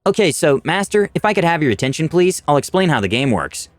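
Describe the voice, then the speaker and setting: A man's voice: fairly high pitch, very animated, quick pace, no room sound, pitched above the performer's own voice. A man voicing an adventurer who placates a villain, over-polite and ingratiating, in a fantasy story.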